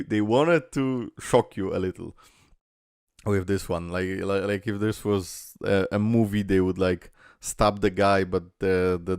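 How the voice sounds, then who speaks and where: Low, gravelly man rambling through a reaction. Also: a little mellow, dry recording, studio quality. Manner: rambling, filler-heavy, swears and laughs. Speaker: reaction video host. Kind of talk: casual reaction commentary.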